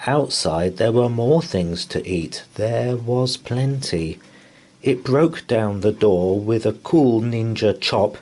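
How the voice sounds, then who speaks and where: A man reading a children's bedtime story aloud in rhyme, with an expressive, theatrical delivery. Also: mid-range voice, slow.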